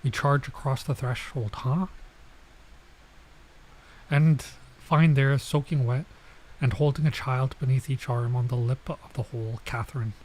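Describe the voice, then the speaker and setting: A man's voice: medium pitch, fairly even intonation, measured pace, pauses often, darkish tone, rough recording. A man doing a let's play, reading visual novel text aloud and adding casual, off-the-cuff comments, sometimes stumbling over lines.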